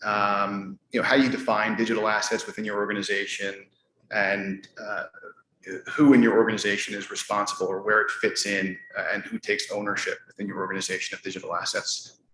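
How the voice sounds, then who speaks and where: Man, panel moderator, low voice, hesitant with fillers, roomy echo, faint hum. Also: little pitch movement, sharp tone. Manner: halting, many fillers. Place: conference room with live audience. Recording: echoey room, faint hum.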